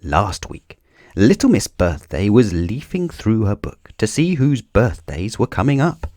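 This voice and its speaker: A man reading a children's story aloud in an expressive narrating style. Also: fairly low pitch, a little slow, no echo, clean studio sound.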